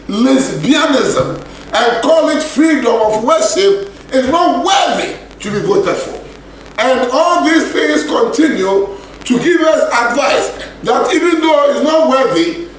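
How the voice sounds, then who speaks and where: Ghanaian male radio preacher; high voice, unhurried and intoning, booming echo. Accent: Ghanaian English. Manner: repeats and intones scripture lines slowly. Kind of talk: radio sermon. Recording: echoey radio broadcast with faint hiss.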